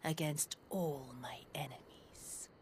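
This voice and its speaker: An actress playing a cold, scornful woman bent on revenge in a video game, speaking with slow, deliberate menace. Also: sits low, darkish tone, slight background noise. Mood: vengeful, menacing. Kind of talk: dramatic video game voice acting.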